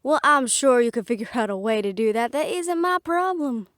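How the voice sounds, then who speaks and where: A woman's voice: on the high side, lively intonation, moderate pace, no room sound, studio quality, close to an everyday voice. A woman playing a sarcastic, defiant young half-fae daughter in an audio drama, with a snappy manner. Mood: sarcastic, irritated. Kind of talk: dramatic audio roleplay acting.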